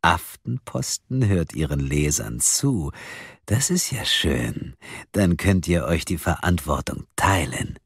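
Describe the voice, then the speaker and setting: A man voicing a cold, mocking anonymous male caller who talks slowly and with scorn. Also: low voice, animated, gravelly, breathy edge, dry recording, studio quality, exaggerated voice. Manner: slow, sneering, drawn-out delivery.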